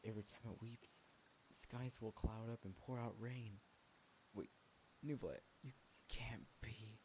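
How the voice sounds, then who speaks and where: A man's voice: fairly low pitch, fairly even intonation, a little slow, darkish tone, slight background noise, poor audio. A man reading dialogue and narration aloud as he plays a video game. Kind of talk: reading game text aloud over gameplay. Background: game music.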